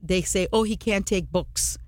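A woman from Trinidad and Tobago hosting a radio show, chatting warmly and telling stories to draw out her guest. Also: mid-range voice, a little slow, no echo, clean studio sound. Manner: chatty, storytelling, encouraging. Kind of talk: casual radio interview.

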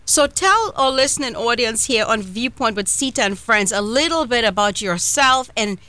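A Trinidadian woman hosting a live radio talk show, chatty with quick asides. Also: on the high side, animated, bright, forceful tone, no room sound. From Trinidad and Tobago.

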